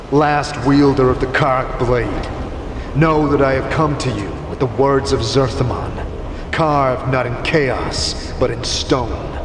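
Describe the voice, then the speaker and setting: A man's voice: medium pitch, noisy background, voice pushed up. A man playing a commanding, portentous immortal wanderer in a fantasy audio drama, speaking in grave, formal proclamations with echoing reverb. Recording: long echoing hall reverb, music underneath.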